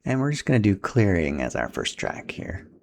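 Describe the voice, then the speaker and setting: A man walks through a hands-on setup in an informal, instructional style. His voice sits low and has a gravelly, creaky edge. Faint background noise runs under the recording. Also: big pitch swings, normal speed. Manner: informal, instructional, talking while handling equipment. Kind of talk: casual step-by-step tutorial.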